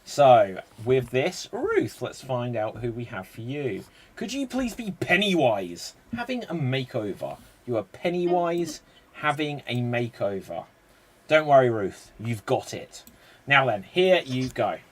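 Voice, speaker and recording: A man hosting a live-streamed improv show, explaining the games in a brisk, chatty way. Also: mid-range voice, big pitch swings, husky.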